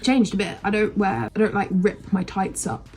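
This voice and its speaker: A woman's voice: medium pitch, animated, normal speed, a little mellow, faint music behind. A nineteen-year-old woman with a British accent, chatting candidly to camera in a rambling, frank way with casual swearing. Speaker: vlogger.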